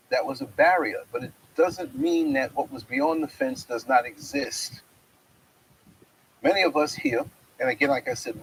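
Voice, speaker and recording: Middle-aged male host, gravelly voice with wildly swinging pitch, outdoors. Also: a little hiss or hum, poor audio. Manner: wide swings in pitch, reflective and preacherly. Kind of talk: spiritual monologue to camera. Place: outdoors in a fenced yard.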